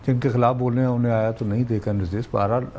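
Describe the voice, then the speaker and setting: A man's voice: mid-range voice, steady tone, brisk, warm tone, muffled recording, a little hiss or hum. A Pakistani man debating politics as an analyst, argumentative and fond of rhetorical questions.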